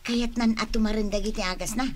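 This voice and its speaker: High-pitched eager younger woman played by an actress. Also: steady tone, fast talker, lightly acted. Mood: puzzled, eager. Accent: Ilocano. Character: helpful, questioning younger woman of the family. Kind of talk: radio drama acting.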